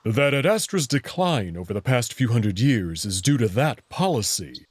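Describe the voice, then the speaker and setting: Man voicing Amicus, an expressive, proud alien prince. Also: medium pitch, slightly rough, no echo. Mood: assured, explanatory. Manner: assured, measured, formal phrasing. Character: Amicus, proud protective furry alien prince, an older brother. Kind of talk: visual novel character acting.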